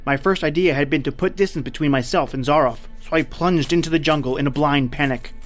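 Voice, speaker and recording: An actor playing a tense, determined hunted man, narrating his ordeal gravely and deliberately as in an old-time radio drama. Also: a little high, natural voice. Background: background music.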